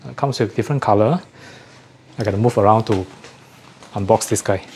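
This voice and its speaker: A man giving a casual unboxing chat as a host, often pausing to rephrase himself. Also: lowish voice, darkish tone, a little hiss or hum. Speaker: host of an audio gear channel.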